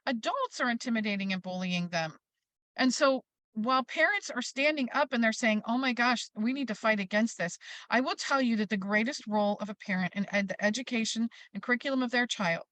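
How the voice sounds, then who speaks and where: Higher-voiced American woman, emphatic advocate. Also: brisk, no echo. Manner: emphatic, animated storytelling with acted-out quotes. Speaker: interview guest, parents' advocacy group leader. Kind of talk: passionate advocacy talk in an interview.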